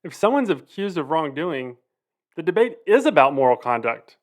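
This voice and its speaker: A man with a moderately high voice presents scripted commentary. He is analytical and critical, stating each point declaratively as the host of the show. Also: big pitch swings, moderate pace.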